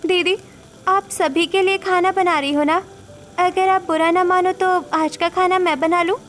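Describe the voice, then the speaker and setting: A woman's voice: extremely high pitch, fairly even intonation, a little slow, fairly bright tone, a little hiss or hum. A woman voicing a naive, stubborn, sulky teenage bride in a cartoon story, with a whiny, pleading manner. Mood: eager pleading. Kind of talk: Hindi cartoon story voice acting.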